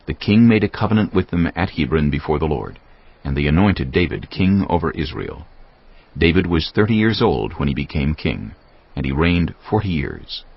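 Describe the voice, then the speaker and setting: A man narrating scripture in a solemn, measured storytelling style, with a gravelly voice. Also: rumbling low. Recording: dull, lo-fi audio. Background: faint music.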